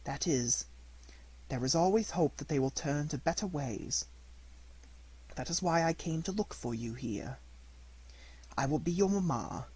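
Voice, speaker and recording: A reader voicing a calm, motherly fairy who speaks softly and admonishes gently in a storybook reading. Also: medium pitch, measured pace, husky, exaggerated voice, low-quality recording. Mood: gentle. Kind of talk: audiobook character reading.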